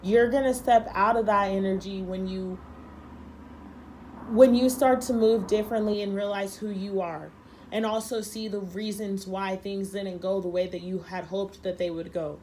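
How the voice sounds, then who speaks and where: A young woman doing a tarot reading, talking earnestly and repeating herself for emphasis, a little hoarse from being sick. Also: medium pitch, fairly even intonation, normal speed, a little mellow, a little hiss or hum, poor audio. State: losing her voice, a little sick. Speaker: tarot reader.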